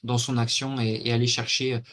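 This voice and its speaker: Guy in his 40s from normandy talking as a podcast guest, pretty flat monotone, lots of euhs. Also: medium pitch, normal speed, a little hiss or hum. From Normandy, France. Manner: steady, detailed account with frequent fillers. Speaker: guest, athlete and mental coach. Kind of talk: casual interview talk.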